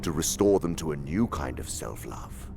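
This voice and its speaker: Very deep, gravelly, haughty demon uncle. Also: male voice, expressive, normal speed, loud music behind the voice, exaggerated voice. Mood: irritated, grim. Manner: pompous, theatrical, condescending lecturing. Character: senior male demon, haughty scheming uncle and mentor. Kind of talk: radio drama acting.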